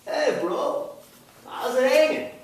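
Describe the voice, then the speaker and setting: A man's voice: very high voice, slow pace, pitched above the performer's own voice. A man reading a laid-back, slangy, beer-loving beach bro in a play, in an echoey room. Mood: carefree. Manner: casual, slangy. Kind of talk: script read aloud at a play reading. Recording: echoey room, far from mic, faint noise.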